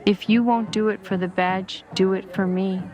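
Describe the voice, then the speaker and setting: A woman playing a calm, wise and candid character in a scripted drama. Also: medium pitch, normal speed, natural voice. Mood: firm, persuasive. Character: calm, wise, candid Native Alaskan police chief's daughter. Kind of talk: scripted audio drama dialogue. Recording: loud guitar music under the voice.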